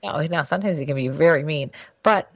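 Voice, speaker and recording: A woman's voice: low-pitched, brisk, gravelly, no room sound, clean studio sound. A middle-aged woman co-hosting a casual podcast as the mother, chatty and playful, laughing often.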